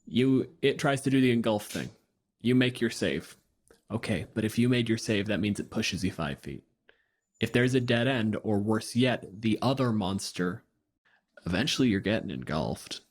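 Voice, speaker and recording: A man's voice: lowish voice, animated, normal speed. A man on a gaming podcast panel, explaining things in casual, informal language. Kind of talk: casual tabletop gaming discussion.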